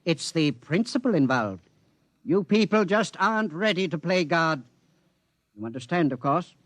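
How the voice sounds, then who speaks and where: An actor playing a fussy, officious census keeper from the future, precise, formal and pedantic, in 1950s radio drama style. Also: male voice, fairly high pitch, expressive, moderate pace, a bit bright, dry recording. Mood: matter-of-fact. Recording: 1950s radio broadcast recording.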